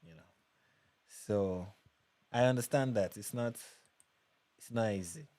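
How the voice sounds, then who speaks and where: A man's voice: fairly low pitch, a little slow, a little mellow, no echo. A young Nigerian man hosting a casual livestream, reading viewer comments and chatting with restarts and repeats. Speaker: livestream host.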